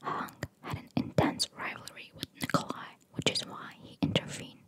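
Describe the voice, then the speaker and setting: A woman whispering ASMR-style as she reads out trivia and adds informal, musing asides. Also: normal speed. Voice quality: soft, unvoiced whisper. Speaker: ASMR content creator. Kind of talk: whispered ASMR reading of trivia with casual commentary.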